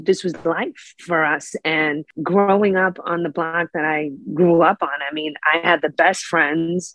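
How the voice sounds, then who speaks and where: A woman's voice: fairly low pitch, no room sound, low-quality recording. A middle-aged woman from Brooklyn with a New York accent, chatting casually as a guest, laughing often and filling with "you know". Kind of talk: casual interview chat.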